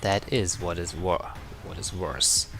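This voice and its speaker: A man giving casual, halting commentary over gameplay, repeating himself. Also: sits low, steady tone, vocal fry, music in the background.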